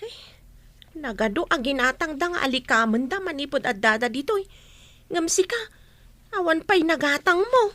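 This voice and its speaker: A woman's voice: high voice, expressive, moderate pace, pauses often, a bit bright, no room sound. A woman acting a loyal, protective household nanny in an Ilocano radio drama. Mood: concerned indignation.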